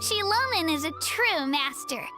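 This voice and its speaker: Bright, very high-pitched young girl. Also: animated, unhurried, soft background music, heavily acted. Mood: upbeat, earnest. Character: eager, cheerful young girl warrior. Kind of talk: video game voice acting.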